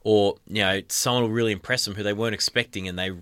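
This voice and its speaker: Aussie bloke hosting a sports podcast, smooth clear voice, dry studio sound, chatty and loose. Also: male voice, lowish voice, fast talker, no echo. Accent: Australian. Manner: relaxed, rambling with fillers. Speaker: podcast host. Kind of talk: casual sports podcast chat. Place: radio studio. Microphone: studio mic.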